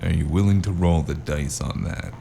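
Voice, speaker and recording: A man playing a wary amnesiac drifter in a noir audio drama, hesitant and wry, with a very deep, gravelly voice. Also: normal speed, dark tone, a little hiss or hum. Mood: defiant. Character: wary amnesiac male drifter narrating his noir tale. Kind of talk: noir audio drama acting and first-person narration.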